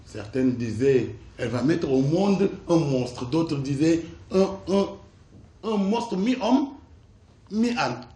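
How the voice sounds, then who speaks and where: A man telling a tale on stage in a solemn, measured way. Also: on the high side, lively intonation, mellow. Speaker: storyteller. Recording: echoey room with faint noise.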